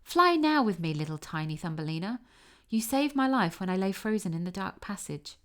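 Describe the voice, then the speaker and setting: A woman's voice: medium pitch, big pitch swings, normal speed, close to an everyday voice. A woman voicing a friendly, grateful male swallow in a storybook, earnest and coaxing. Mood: eager, coaxing.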